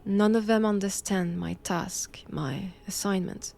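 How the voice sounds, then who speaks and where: A woman playing a solemn, assured Vatican nun who hunts knowledge of vampires, with a French accent and a hushed, deliberate delivery in an intimate audio roleplay. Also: mid-range voice, steady tone, creaky. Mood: grave, resolute.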